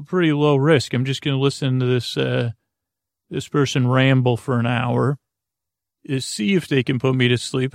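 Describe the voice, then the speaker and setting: Male sleep-podcast host, gravelly, meandering and halting, dry close sound. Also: dry recording. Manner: meandering, halting, lots of fillers and restarts; low-key, drowsy delivery. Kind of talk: rambling sleep podcast intro.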